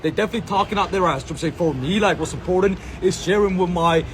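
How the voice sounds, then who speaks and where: A man's voice: high-pitched, racing, background noise. A man from Sri Lanka recording a rambling outdoor monologue as a content creator, full of slang, fillers and repeated catchphrases. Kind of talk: rambling self-filmed monologue. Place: outdoors in a public space.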